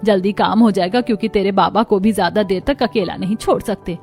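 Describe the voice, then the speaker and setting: A woman's voice: medium pitch, brisk, darkish tone, soft background music, natural voice. A woman playing a poor, earnest mother who works as a housemaid, speaking respectfully and pleadingly in a moral story. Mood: plain, practical. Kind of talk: dramatized Hindi moral story acting.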